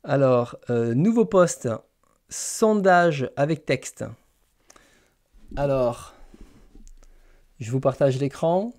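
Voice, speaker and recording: A man's voice: mid-range voice, animated, drawn-out words, pauses often, darkish tone. A man hosting a casual live stream, hesitantly thinking aloud as he types. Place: small indoor room lined with bookshelves.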